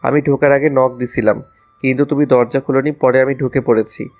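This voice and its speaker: একজন পুরুষের অভিনয়ে দুষ্টু, রোমান্টিক কলেজপড়ুয়া ছেলে, পেছনে বাজনা. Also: male voice, medium pitch, steady tone, brisk, darkish tone, faint music behind, close to an everyday voice. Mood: playful, mock-innocent. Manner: playful, mock-innocent, teasing. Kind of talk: audio romance story acting.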